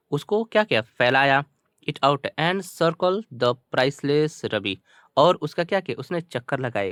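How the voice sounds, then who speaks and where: A man with an Indian accent, a native Hindi speaker, teaching bilingually, reading English slowly word by word and repeating his explanations colloquially. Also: medium pitch, fairly bright tone, no echo, clean studio sound.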